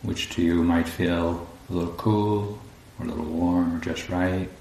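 Low, even-voiced man guiding meditation. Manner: calm, unhurried, long pauses between phrases. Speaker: meditation teacher. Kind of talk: guided meditation. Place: indoor room at a group retreat. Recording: roomy echo, faint low rumbling noise.

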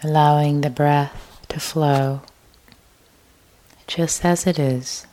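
A woman meditation teacher leading a guided meditation in a gentle, hushed way, leaving long pauses. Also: sits low, steady tone, slight background noise, low-quality recording.

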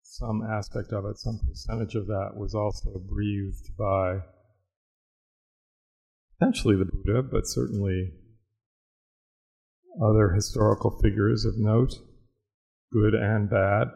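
A man teaching a guided meditation, speaking in a measured, reflective way with long pauses. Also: lowish voice, fairly even intonation, gravelly, mellow, slightly rough, poor audio.

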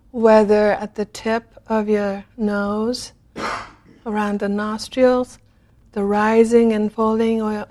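Woman, meditation teacher, soft mellow tone, very even pitch, gentle, long pauses. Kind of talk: guided meditation.

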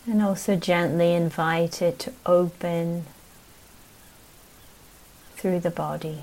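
A woman teaches meditation in a fairly low voice that barely moves in pitch. She speaks very slowly and gently, offering short phrases and leaving long pauses between them as she guides the practice. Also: fairly even intonation, rough recording.

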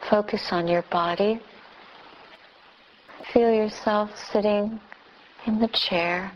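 Slow-spoken woman guiding meditation. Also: medium pitch, steady tone, highs cut off, slight background noise. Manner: slow, speaks in short phrases with long pauses. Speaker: Buddhist nun and meditation teacher. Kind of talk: guided meditation.

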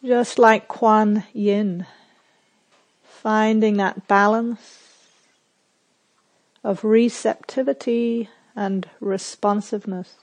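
A woman guides a meditation at a very unhurried pace. Her voice sits at a middle pitch. She gives gentle instructions in short phrases, leaving plenty of silence between them. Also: fairly even intonation, low-quality recording. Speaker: meditation teacher.

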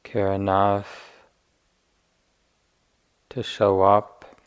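A man with a fairly low, very even voice leads a guided meditation. He speaks very slowly and gently, leaving long pauses between short, deliberate phrases. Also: clean tone. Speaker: meditation teacher.

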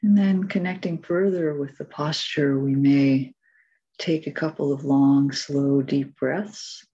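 Slow, mellow, low-voiced woman guiding meditation. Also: fairly even intonation, slightly rough, highs cut off. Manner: gentle, measured instruction with long pauses. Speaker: meditation teacher. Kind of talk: guided meditation.

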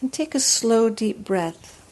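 A woman leading a guided meditation as a teacher, speaking in a soothing, gentle, unhurried way with long pauses. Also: a little high, expressive.

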